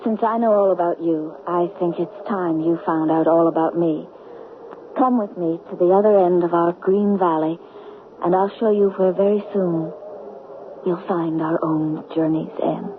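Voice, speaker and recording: A woman's voice: fairly low pitch, steady tone, moderate pace, lightly acted. An actress playing a devoted, mysterious young woman in love, intimate and insistent, in an old-time radio drama. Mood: soothing, assured. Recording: muffled, noisy vintage radio broadcast.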